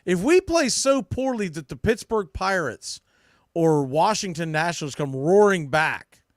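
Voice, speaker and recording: Man, sports podcast host, slightly high voice, casual commentary, opinionated, emphatic, informal, very dry sound. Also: expressive, measured pace, no echo.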